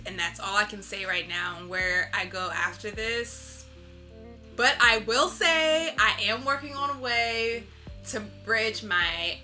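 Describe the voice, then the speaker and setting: Woman, interview guest, very bright and crisp-edged tone, expressive casual talk, light music underneath. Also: medium pitch, measured pace. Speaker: interview guest, visual artist.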